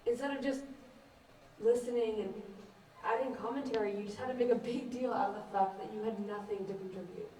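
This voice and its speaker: A woman's voice: a little high, steady tone, a little fast, dark tone, natural voice. A young woman acting a blunt, sardonic character in a student stage play. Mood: confrontational. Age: young adult. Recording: echoey room, far mic, faint noise.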